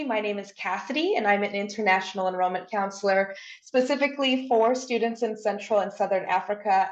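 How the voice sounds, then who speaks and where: A woman, an enrollment counselor, introducing herself on an online panel with polite enthusiasm. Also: mid-range voice. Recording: echoey room, dull audio.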